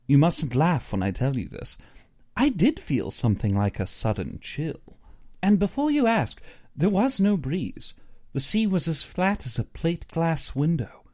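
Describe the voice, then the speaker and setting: A man voicing an uneasy, superstitious big-game hunter in an audiobook, earnest and brooding in his expressive delivery. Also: on the high side, voice pushed up. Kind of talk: audiobook character dialogue.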